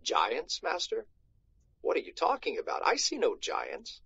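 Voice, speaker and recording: A man's voice: sits high, wildly swooping pitch, measured pace, gravelly, sharp tone, breathy edge, full character voice. A man voicing a simple, good-natured farmer squire in a storybook, plain-spoken and questioning, on a slightly muffled recording. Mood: puzzled, doubtful. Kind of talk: storybook read-aloud.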